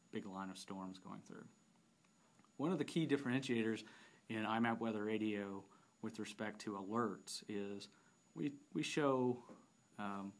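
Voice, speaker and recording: A man narrating an unscripted software demonstration, explaining step by step with halting phrasing and repeated words. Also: fairly low pitch. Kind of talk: unscripted app tutorial narration.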